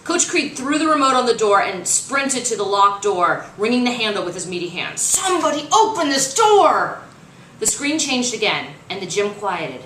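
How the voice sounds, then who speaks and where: A woman reading fiction aloud as its author, in expressive, dramatic narration. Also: medium pitch. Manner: dramatic, acts out dialogue. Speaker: author reading their own novel. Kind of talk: expressive audiobook-style narration. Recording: roomy, distant mic, faint noise.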